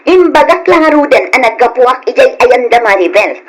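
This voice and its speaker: A woman's voice: high-pitched, lively intonation, normal speed. An actress with an Ilocano accent playing a nagging, aggrieved young wife and mother in an old radio drama. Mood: indignant.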